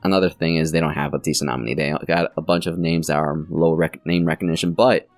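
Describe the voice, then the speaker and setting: A man's voice: extremely low pitch, fairly even intonation, quick pace, no echo, faint music behind. A man hosting a casual election prediction channel, rambling and correcting himself as he talks, his voice a little strained and sore. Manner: rambling, self-correcting, with frequent fillers. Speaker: political channel host.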